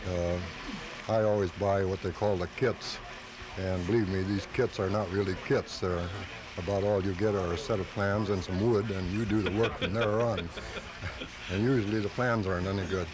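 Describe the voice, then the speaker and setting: A man's voice: deep voice, fairly even intonation, normal speed. A man being interviewed as a hobbyist on live radio, explaining things casually and plainly. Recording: noisy home-taped live radio.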